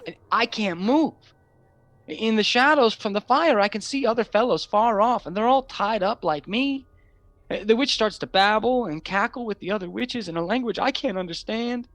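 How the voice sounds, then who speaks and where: A woman's voice: fairly high pitch, moderate pace, no echo, natural voice. A woman playing an earnest, frightened boy in a radio play, telling of his torment in a haunted, hesitant way. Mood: haunted, fearful.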